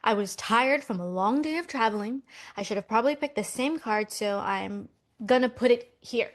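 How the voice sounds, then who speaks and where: A woman's voice: a little high, normal speed, lightly acted. A woman playing an anxious, practical, easily exasperated millennial twin in a romcom table read, earnest and insistent. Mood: irritated.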